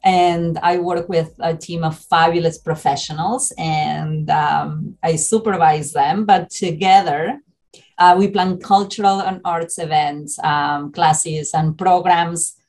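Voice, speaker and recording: A woman with an Argentine Spanish accent and a fairly low voice. She answers interview questions earnestly, explaining things at length. Her speech is full of ums and uhs as she finds her English words. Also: fairly even intonation, slow, darkish tone. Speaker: community centre programming manager.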